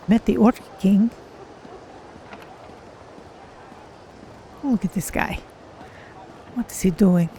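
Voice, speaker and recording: A woman voicing a curious, polite cat-person adventurer, musing in measured, formal words as she plays a video game. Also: mid-range voice, dark tone, a little hiss or hum, close to an everyday voice, low-quality recording. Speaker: game streamer. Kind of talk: in-character gameplay commentary.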